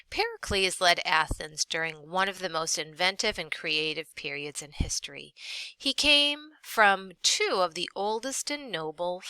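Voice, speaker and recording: A woman teacher reads a lesson aloud in a bright, fairly low voice. Her delivery is deliberate and expressive, with lively rises and falls across each sentence. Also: no echo. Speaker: teacher reading a textbook aloud. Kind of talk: read-aloud lesson narration.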